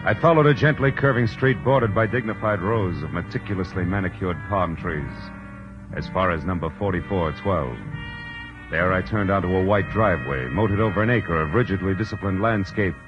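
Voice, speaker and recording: An American actor playing a wry, world-weary private detective in clipped 1940s radio style. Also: male voice, low voice, normal speed, natural voice. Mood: wry narration. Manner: wry, clipped, hardboiled delivery. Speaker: lead actor and narrator. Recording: dull old radio recording, music behind.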